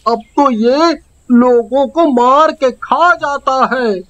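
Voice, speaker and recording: A woman's voice: sits high, very slow, a bit bright, highs cut off, full character voice. A woman voicing a brave, clever young village boy in a cartoon, earnest and explanatory. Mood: worried.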